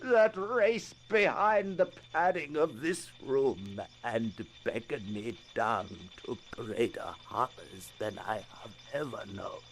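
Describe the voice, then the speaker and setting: An actor playing a deranged, haunted asylum inmate in a theatrical old-time radio horror drama. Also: male voice, mid-range voice, animated. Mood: desperate pleading. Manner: theatrical, halting, swinging from hushed dread to frenzy. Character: deranged, haunted man confined to an asylum, pleading innocence. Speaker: narrator and lead actor. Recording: noisy vintage radio recording.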